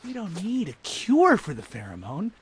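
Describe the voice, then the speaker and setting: A man voicing an excitable, fussy scientist in a cartoon game, expressive and wordy, with a husky, creaky voice. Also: sits high, normal speed, exaggerated voice. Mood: scheming, eager. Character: excitable, fussy scientist, eager and easily appalled. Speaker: voice actor in a game cutscene. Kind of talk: animated cartoon game acting.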